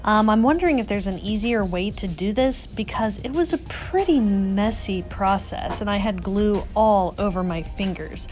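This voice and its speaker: A woman's voice: mid-range voice, normal speed, few pauses, darkish tone. A woman giving a casual, chatty and self-deprecating voice-over for a craft tutorial. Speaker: craft vlogger, host. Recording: music under the voice. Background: music.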